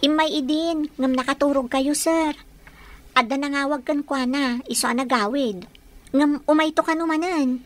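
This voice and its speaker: A woman's voice: sits high, fairly even intonation, moderate pace, close to an everyday voice. A woman with an Ilocano Filipino accent playing a young, deferential, naive housemaid in a radio drama. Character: young housemaid daughter, deferential yet observant.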